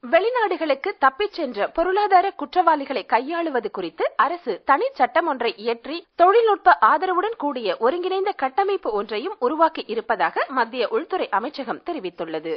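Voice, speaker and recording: A woman's voice: sits high, sing-song, normal speed, hardly any pauses. A woman narrating a scripted radio news report in a formal, carefully paced reading. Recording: muffled radio broadcast audio.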